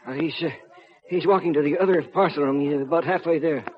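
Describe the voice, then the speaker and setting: A man plays a frightened, stammering clerk in a 1950s radio drama. He is high-pitched and hurried, and the old recording sounds muffled. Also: natural voice. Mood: nervous. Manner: stammering, jittery. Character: nervous, frightened male parcel room clerk.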